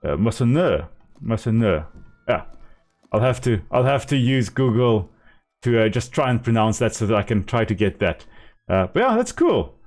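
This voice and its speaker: A man's voice: medium pitch, very animated, moderate pace, a little mellow, faint music behind. A man with a South African accent, a native Afrikaans speaker, chatting casually and explaining as he plays a game on a live stream.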